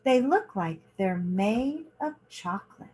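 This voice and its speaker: Slow-paced woman reading a picture book. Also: medium pitch, animated, dark tone. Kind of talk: children's picture book read-aloud.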